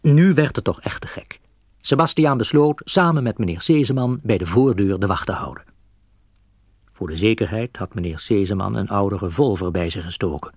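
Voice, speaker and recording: Male narrator, children's storybook reading, lowish husky voice, dry studio sound. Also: moderate pace, no room sound. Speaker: narrator of a children's audio play.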